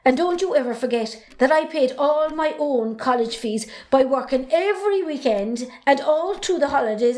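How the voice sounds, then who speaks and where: Woman playing a high-voiced, outspoken Irish daughter. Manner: assertive, chiding. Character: outspoken, independent young adult farmer's daughter. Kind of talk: amateur stage drama acting.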